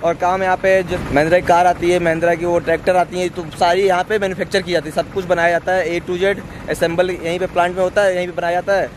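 Young man, quick delivery, chatty vlog host, noisy roadside. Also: high voice, little pitch movement. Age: young adult. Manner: chatty, repetitive, addressing viewers as guys. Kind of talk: casual outdoor vlog narration to viewers. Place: outdoors along industrial roadsides. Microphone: camera held at arm's length. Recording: noisy street with vehicle traffic.

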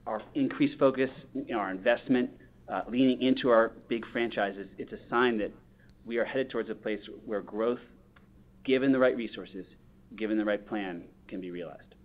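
Low-voiced male executive, dull call audio. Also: normal speed. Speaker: company executive. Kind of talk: earnings call answer, prepared business talk.

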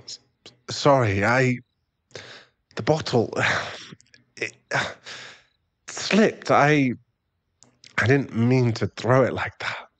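A man's voice: mid-range voice, extremely slow, long pauses. A man playing an irritable, defensive, hard-drinking werewolf boyfriend and expectant father in an ASMR roleplay audio drama, given to sighs and snappish remarks. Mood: shaken, remorseful.

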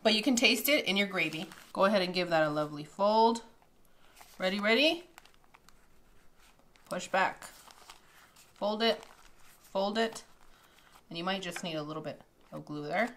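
A woman in her thirties hosting a casual cooking demonstration in a bright home kitchen, chatty and upbeat, laughing often. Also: medium pitch, normal speed, pauses often. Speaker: cooking show host.

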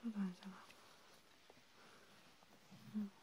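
A woman's voice: medium pitch, little pitch movement, slow pace, warm tone, slight background noise, close to an everyday voice. Woman playing a whispering cautious vampire healer. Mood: hushed concern. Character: cautious female vampire healer tending a hunted friend. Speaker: ASMR role-player. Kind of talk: whispered ASMR role play.

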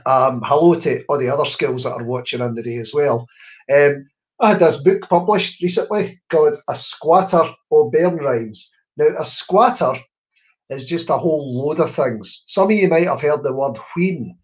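A man's voice: on the high side, normal speed. Chatty Scottish man on a webcam. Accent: Scottish, Scots-speaking. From Scotland. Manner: chatty and encouraging, drops in Scots words. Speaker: children's author and poet. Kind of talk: online workshop talk to schoolchildren. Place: room at home. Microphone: webcam on a video call.

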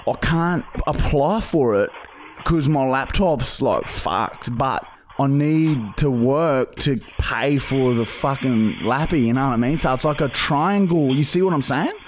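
A man's voice: medium pitch, measured pace, slight background noise, natural voice. A young Australian man playing a pushy, dim, matey bogan begging for work on a prank call, rambling and swearing heavily in slang. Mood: confused, pleading. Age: young adult. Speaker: prank caller and podcast host. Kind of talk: improvised prank phone call. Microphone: boom-arm studio microphone, phone held to face.

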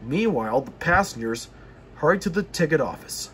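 A man reading a children's story aloud, haltingly, stumbling over words and repeating phrases. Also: a little high, animated, fairly bright tone, a little hiss or hum. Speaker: storybook reader.

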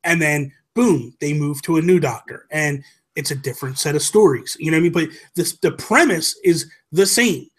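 Man, thirties, fairly high pitch, casual podcast chat, emphatic, opinionated, close mic. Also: normal speed, fairly bright tone, breathy edge. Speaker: podcast host. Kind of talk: animated casual chat about games and films. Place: small home room, indoors. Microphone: close desk microphone with headphones.

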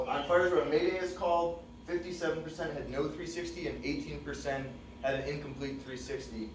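A young man teaches a room of firefighters, talking loosely and conversationally, often pausing on "uh." He stands well back from the camera, so his voice carries the reverberation of the classroom. A faint hum of room noise sits underneath. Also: mid-range voice. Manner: relaxed, conversational, many "uh" fillers. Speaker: fire service instructor. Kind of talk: classroom training presentation. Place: dim training room with projector screen. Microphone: far camera. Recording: echoey room, distant mic.

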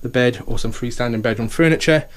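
A man's voice: mid-range voice, fast. A man, an estate agent, giving an upbeat walkthrough tour of a house, full of sales patter and repeated "okay" and "fantastic".

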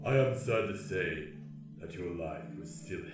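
A giant grim reaper speaks very low and slowly, with formal, deadpan patience. The solemn voice booms through heavy echo over music in a comic fantasy radio play. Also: male voice, recorded from a distance. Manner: slow, formal, deliberate, deadpan.

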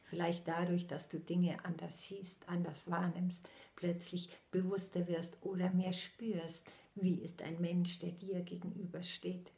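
A woman in her sixties, a spiritual medium, delivering a channeled message slowly and solemnly with her eyes closed, often sinking into soft whispers, recorded close up on a laptop in a small room at home. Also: on the low side, flat delivery, gravelly.

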